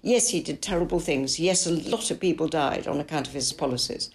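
An older woman author answering interview questions in a measured, thoughtful and frank way. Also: sits low, vocal fry, darkish tone. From Italy. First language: English. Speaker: author and biographer.

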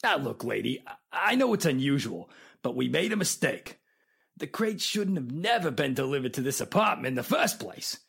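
A man playing a rough, dim crook in a 1940s style radio drama, with slangy, ungrammatical street talk. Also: medium pitch, big pitch swings, normal speed, fairly bright tone, exaggerated voice. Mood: pushy, cajoling.

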